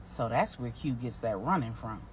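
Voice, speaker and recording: A woman voicing a gentle, questioning pregnant mother in a story, speaking softly. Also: extremely low pitch, lively intonation, moderate pace, slight background noise, pitched below the performer's own voice. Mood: probing.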